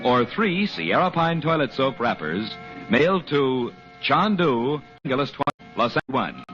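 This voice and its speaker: Animated 1940s American radio announcer. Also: male voice, medium pitch. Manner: formal, deliberate showman's delivery. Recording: muffled old radio broadcast, music behind.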